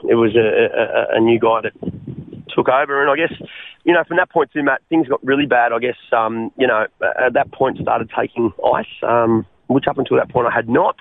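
A man's voice: mid-range voice, fairly quick, dry recording. A man in his forties with an Australian accent, a guest telling his life story over the phone in a rambling way, full of fillers and restarts. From Western Sydney, Australia. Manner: rambling, many fillers and restarts, calls the host mate. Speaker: guest sharing his testimony. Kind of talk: casual interview over the phone. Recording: tinny phone line, dry.

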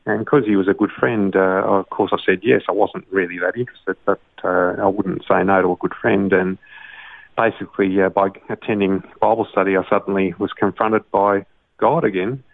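A man's voice: low-pitched, steady tone, normal speed, creaky, no room sound. An Australian man, a doctor and songwriter, talking as a guest in a casual interview, hesitant, with many fillers. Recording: dry tinny phone-like line.

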